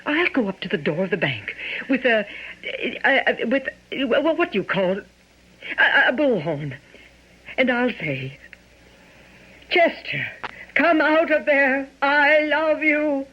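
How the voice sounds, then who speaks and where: An actress playing a world-weary, self-protective mistress and mother in a radio drama, with a theatrical, halting delivery. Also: high voice, expressive, exaggerated voice. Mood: bitter, mocking. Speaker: actor. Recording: dull lo-fi radio broadcast.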